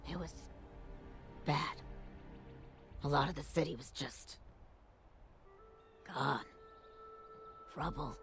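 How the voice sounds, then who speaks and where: Gravelly ghoul survivor. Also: female voice, low-pitched, very slow, long pauses, a bit bright, soft background music, full character voice. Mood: somber. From Pittsburgh, USA. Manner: blunt, wry, plain-spoken. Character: tough gruff ghoul woman, factory worker survivor. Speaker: game character. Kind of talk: video game dialogue.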